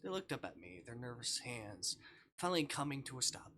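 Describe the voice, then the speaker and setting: A man's voice: mid-range voice, sing-song, brisk, sharp tone, slight background noise. A man live-streaming a game, reading a visual novel aloud dramatically with playful asides.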